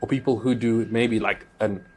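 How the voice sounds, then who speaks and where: A man from South Africa hosting a podcast, asking questions in a relaxed, musing conversational way. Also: medium pitch, normal speed, slightly rough. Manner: relaxed, musing, frequent agreeing backchannel. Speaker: podcast host. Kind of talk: conversational interview. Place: conference.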